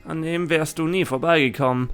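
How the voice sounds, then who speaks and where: A man's voice: fairly high pitch, brisk, a bit bright, clean tone, faint music behind. A man playing a confident, defiant young duelist with a dragon deck in an anime fan audio drama.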